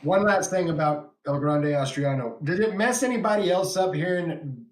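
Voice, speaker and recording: A man's voice: a little high, moderate pace, reverberant, low-quality recording. A man from West Texas with a West Texas accent, hosting a casual wrestling podcast, laughing often and reading viewer comments aloud. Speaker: podcast host.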